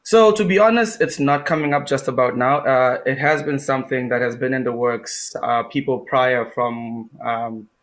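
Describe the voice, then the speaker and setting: A man's voice: mid-range voice, moderate pace, hardly any pauses, fairly bright tone. A young man from Zimbabwe, a guest in an interview, answering with many fillers and restarts.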